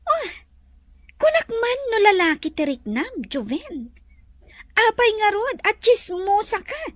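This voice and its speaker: A woman's voice: extremely high pitch, very animated, unhurried, no room sound, clean studio sound, full character voice. A woman with an Ilocano accent playing a bossy, teasing lady employer in a radio drama. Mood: bossy, scolding.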